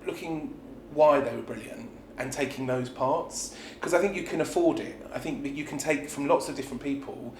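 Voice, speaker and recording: A man's voice: medium pitch, moderate pace, few pauses. British man talking in an echoey room. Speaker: pantomime dame performer. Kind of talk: reflective interview talk. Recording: roomy echo with background noise.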